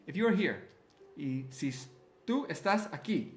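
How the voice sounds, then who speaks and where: Excitable higher-voiced man in echoey room. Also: unhurried. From Miami, Florida, US. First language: Spanish. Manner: excitable, gushing, thanks viewers by name. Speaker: livestream host running a card giveaway. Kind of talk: live-stream chat, counting out picks. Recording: echoey room with faint music.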